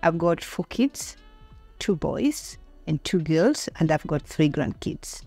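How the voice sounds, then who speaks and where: A woman's voice: deep voice, sing-song, slow pace, darkish tone, slightly rough, dry recording, soft background music. A middle-aged woman with a Kenyan accent talking about her own life in a conversational interview. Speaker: podcast guest.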